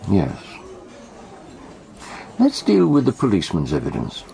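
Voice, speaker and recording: Dry, creaky-voiced British detective. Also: male voice, fairly low pitch, wildly swooping pitch, mellow, full character voice. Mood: dry, probing. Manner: dry, deliberate, questioning, corrective. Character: shrewd, measured male amateur detective, dry and probing. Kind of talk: British radio detective drama acting.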